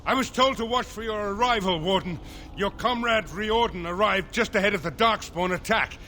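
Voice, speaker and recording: High-voiced, earnest castle soldier. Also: male voice, normal speed, pushed and bright, slight background noise. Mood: urgent relief. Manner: formal, earnest. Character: relieved, respectful male castle soldier delivering urgent news. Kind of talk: video game dialogue acting.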